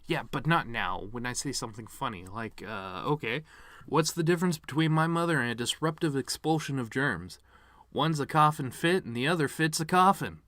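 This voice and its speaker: A man's voice: mid-range voice, animated, moderate pace, a bit bright, no room sound, close to an everyday voice. A man performing a dramatic monologue as a bitter, regretful actor giving his mother's eulogy, with sardonic, rambling asides. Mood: sardonic joking.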